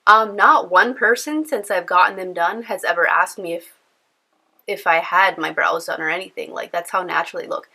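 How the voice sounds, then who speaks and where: A young woman talks to the camera at home, her voice nasal and stuffed up from a cold. Her tone is bright and forward. She rambles casually with plenty of fillers. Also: fairly low pitch, moderate pace. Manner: chatty, rambling, lots of fillers. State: congested from a cold. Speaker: beauty vlogger. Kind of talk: casual chatty vlog. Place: at home indoors.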